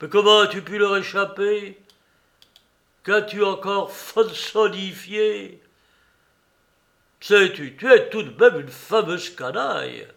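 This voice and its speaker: A man voicing a boisterous, mocking old father who shouts and jeers theatrically. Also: high-pitched, slow, gravelly, pauses often, pitched above the performer's own voice, full character voice. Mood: gleeful shouting.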